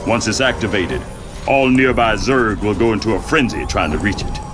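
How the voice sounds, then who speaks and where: Man playing a low-voiced, businesslike military general. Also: animated, moderate pace, natural voice. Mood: businesslike briefing. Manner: matter-of-fact, authoritative. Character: seasoned male general, calm and businesslike. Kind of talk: video game military briefing. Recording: voice over loud game music.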